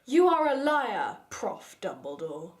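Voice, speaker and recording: A woman voicing a huge gruff man, earnest and easily angered, speaking slowly and overdramatically in an amateur fan fiction dramatization. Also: medium pitch, big pitch swings. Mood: indignant. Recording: echoey room.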